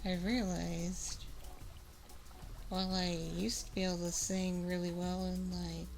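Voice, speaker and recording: A woman chatting casually in a soft, confiding ASMR livestream style, close on a binaural microphone. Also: on the low side, level tone, a little slow, soft background music, poor audio.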